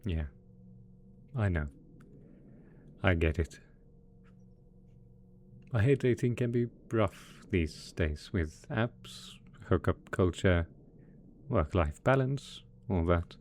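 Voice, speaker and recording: A man's voice: low-pitched, expressive, takes it slowly, long pauses, a little mellow. A man voicing a melodramatic, possessive mad scientist supervillain boss in a roleplay audio drama, smug and coaxing in manner. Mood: gentle, sympathetic. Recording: faint background noise.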